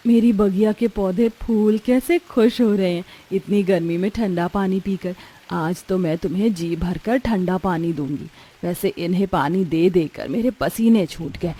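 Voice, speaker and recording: A woman's voice: lowish voice, unhurried, warm tone, husky. A woman voicing a naive, earnest young village bride in a dramatized moral story. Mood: pleased.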